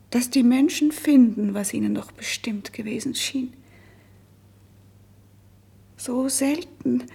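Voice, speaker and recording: Sad lovesick princess in an old verse radio play, very breathy, bit of creak, solemn. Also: female voice, mid-range voice, heavily acted. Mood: resigned longing. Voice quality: breathy, hushed. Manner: solemn, wistful, drawn-out poetic phrasing. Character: noble, melancholy young princess, lovesick and resigned. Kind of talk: classical verse radio drama, declaimed.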